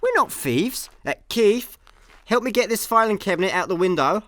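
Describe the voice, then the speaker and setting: Man playing a lively, higher-voiced Scottish space slacker. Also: moderate pace, no echo. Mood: exasperated. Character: bumbling, chatty male space layabout. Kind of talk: comedic sci-fi radio play.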